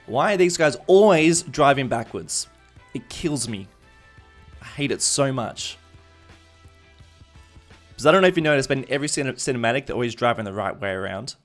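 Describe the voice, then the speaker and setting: A man streams a game in an easygoing, conversational way. His voice sits at an average male pitch as he muses aloud to his viewers. Music plays behind him. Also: expressive, normal speed, pauses often. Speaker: live streamer. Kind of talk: casual game-stream commentary, chatting with viewers. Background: background music.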